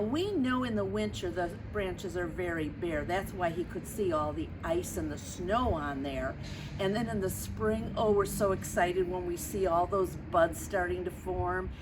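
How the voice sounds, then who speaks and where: A woman reading a picture book aloud to children, expressive and dramatic, stretching words and chatting to her young listeners. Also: medium pitch. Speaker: storyteller for children. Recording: clearly noisy background.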